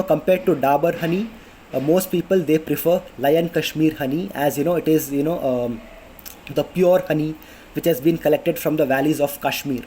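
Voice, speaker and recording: Chatty young Indian man, mellow voice. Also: on the high side, a little hiss or hum, low-quality recording. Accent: Indian English. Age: 20s. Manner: chatty, many fillers and restarts. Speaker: vlogger. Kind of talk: casual health tips vlog. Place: apartment in a city under flight paths. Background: planes passing overhead.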